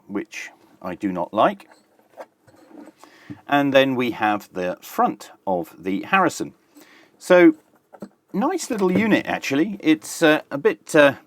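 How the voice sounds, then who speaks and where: A man's voice: mid-range voice, dry recording. A man presenting a casual hands-on product review, plain-spoken and methodical, with dry humour.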